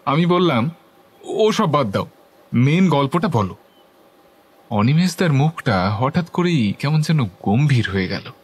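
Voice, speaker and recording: An actor playing a curious young club member who narrates a ghost story in the first person, with scripted dialogue. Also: male voice, medium pitch, big pitch swings, a little slow, pauses often, breathy edge. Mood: observant, curious.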